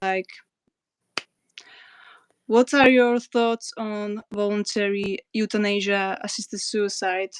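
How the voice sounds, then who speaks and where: A woman's voice: mid-range voice, little pitch movement, takes it slowly, long pauses, clear, resonant tone, dry recording. A woman from Slovakia with a Slovak accent, hosting a casual livestream and reading out viewer comments, hesitant with many fillers. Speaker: livestream host.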